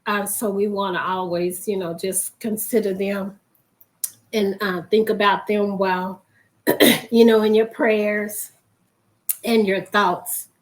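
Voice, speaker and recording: A woman hosting a faith broadcast, hoarse and losing her voice, often clearing her throat as she gives a reflective, encouraging talk. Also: mid-range voice, fairly even intonation, slow.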